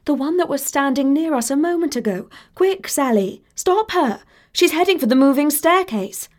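A woman's voice: high voice, expressive, normal speed, voice pushed up. A woman voicing a haughty, dramatic young princess in a storybook, demanding and melodramatic. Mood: alarmed, demanding. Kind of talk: acted dialogue in a storybook reading.

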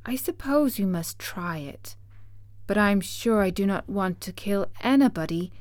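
A woman voicing a sad, gentle young girl in a storybook, speaking in a plaintive, worried way. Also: medium pitch, animated, a little slow, dry recording, lightly acted. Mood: reluctant.

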